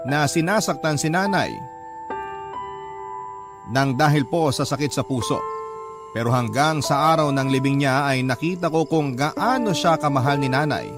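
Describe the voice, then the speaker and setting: Casting: male actor for sincere young letter-writer, mid-pitched, reflective first-person narration over clear background music. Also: close to an everyday voice. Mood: confiding, sombre. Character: sincere young man confiding his love story.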